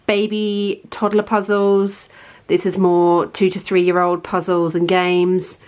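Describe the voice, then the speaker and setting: A woman giving a casual, informal tour at home, explaining things in a chatty way as a parenting vlogger. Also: fairly low pitch. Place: indoors at home, in a playroom.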